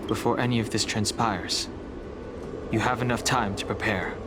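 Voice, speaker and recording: A watchful, stoic male guardian played by an actor speaks with serious restraint in game dialogue. The voice is on the low side, over loud ambient noise. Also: steady tone, normal speed, close to an everyday voice.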